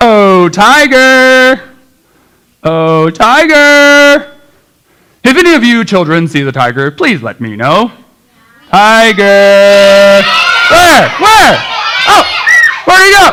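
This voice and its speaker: Animated, slow-talking puppet show character, acted out live. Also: medium pitch, clear, resonant tone, some chatter behind, full character voice. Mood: frantic searching. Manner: exaggerated, exclamatory, addressing children. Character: puppet characters in a church Christmas show, exclaiming and scolding. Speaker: puppeteer performer. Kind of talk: children's puppet show performance.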